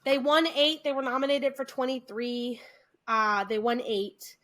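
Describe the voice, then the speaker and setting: An American woman co-hosting a podcast, chatting casually, animated and quick to restart her sentences. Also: sits high. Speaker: podcast co-host. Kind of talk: casual chat.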